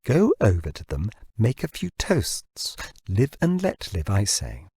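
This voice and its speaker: A man voicing a bossy, fond woman, soft-edged husky. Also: fairly low pitch, huge pitch swings, measured pace, no echo, studio quality, full character voice. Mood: coaxing. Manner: gently scolding, coaxing. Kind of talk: audiobook character dialogue.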